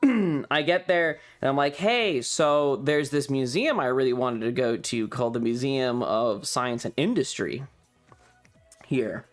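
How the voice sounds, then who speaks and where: A streamer with an androgynous voice chats through a casual story over background music. The delivery is slow and rambling, with an exasperated manner and a voice that is starting to give out a little. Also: medium pitch. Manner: rambling, exasperated, acts out quoted dialogue. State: voice slightly going.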